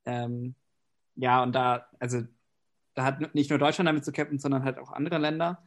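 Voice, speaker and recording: A man's voice: mid-range voice, flat delivery, brisk. A man whose first language is English, speaking German in a casual, reflective conversation with frequent fillers. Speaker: conversation partner.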